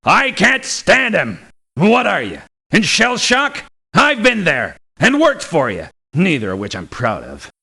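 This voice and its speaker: Cocky male game mercenary: bright forceful voice, slightly high, creaky, scornful and emphatic. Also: huge pitch swings, a little slow, exaggerated voice. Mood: indignant. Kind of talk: video game voice lines.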